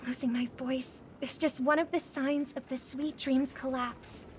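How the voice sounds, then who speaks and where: High-voiced, graceful young woman singer. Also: fairly even intonation, a little slow, slight background noise. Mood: apologetic, grave. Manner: polite, composed, earnest. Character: kind, graceful young female singer, sincere. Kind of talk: video game cutscene acting. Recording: loud music under the voice.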